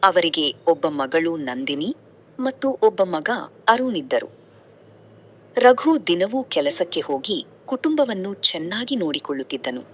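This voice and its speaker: A woman with a very low voice tells a moral story in scripted narration. Her tone is bright and her pitch moves in a lively way. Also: normal speed.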